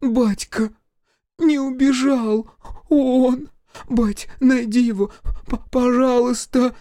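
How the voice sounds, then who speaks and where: Shrill weepy young village bride, ish twang, way up high, dry sound. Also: male voice, unhurried, a little mellow, no room sound. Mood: wailing. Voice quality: shrill, wailing.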